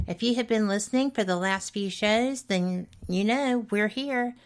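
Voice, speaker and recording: A woman's voice: mid-range voice, a little slow. A middle-aged woman with a Southern accent, hosting a casual radio talk show and chatting with enthusiasm. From Alabama. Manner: chatty, enthusiastic, laughs easily.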